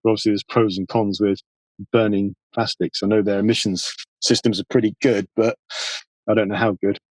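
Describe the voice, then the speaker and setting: A man talking casually as an interview guest, explaining things in a matter-of-fact, measured way. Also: lowish voice, flat delivery, no echo, rough recording. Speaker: podcast guest, environmental campaigner.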